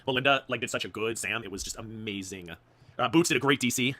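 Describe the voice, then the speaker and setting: A man's voice: medium pitch, fast, pushed and bright. A man chatting as a guest on a livestream, snarky and sarcastic. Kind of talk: casual livestream chat.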